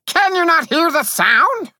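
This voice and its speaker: A man's voice: medium pitch, wildly swooping pitch, measured pace, sharp tone, dry recording, studio quality, voice pushed up, exaggerated voice. A man voicing a tiny, valiant fairy soldier who makes formal, grandiose declarations with earnest pomp, in a storybook reading. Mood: urgent, outraged. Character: tiny winged male fairy soldier, pompous, excitable, valiant.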